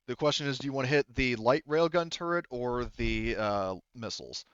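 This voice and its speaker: Clear-voiced man running a tabletop game. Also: mid-range voice, moderate pace, a bit bright, no echo, dull audio. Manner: informal, thinking aloud. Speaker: game master. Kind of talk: casual tabletop game narration with dice rulings.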